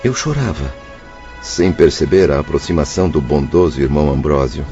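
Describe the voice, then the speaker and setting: A man's voice: rumbling low, moderate pace. An actor playing an anguished, repentant male spirit in a radio drama, plaintive and formal in his wording. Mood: sorrowful recollection. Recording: muffled lo-fi audio with music. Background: background music.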